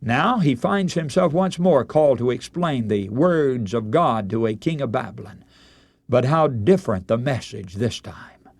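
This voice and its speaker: A man delivers a radio sermon as a solemn storyteller. His pitch moves widely as he leans on key words with deliberate, dramatic emphasis. The sound is dry and close, with very little room. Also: expressive, creaky, slightly rough, no echo. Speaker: preacher.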